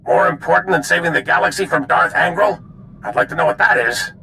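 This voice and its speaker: A man acting a gruff, impatient general in a video game, blunt and clipped. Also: on the high side, brisk, bright, forceful tone, a little hiss or hum, full character voice. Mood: irritated.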